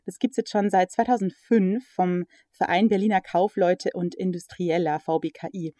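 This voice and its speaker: A woman guest in a casual interview, talking in a relaxed, rambling way with many fillers. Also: on the low side, moderate pace, no echo, clean studio sound. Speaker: guest, magazine editor.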